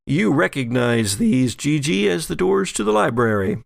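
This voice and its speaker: A man narrating a horror-and-humor tabletop game as game master, in measured, suspenseful storytelling with pauses. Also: fairly high pitch, very animated, vocal fry, no echo, clean studio sound. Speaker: game master of an actual-play podcast.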